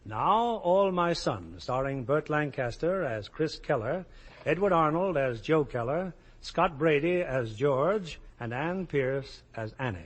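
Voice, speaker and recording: A man's voice: on the high side, lively intonation, slow, noisy background. An American man presenting and narrating a scripted 1950s radio show in a formal, declamatory manner. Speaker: radio show host and producer. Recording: old radio broadcast with music.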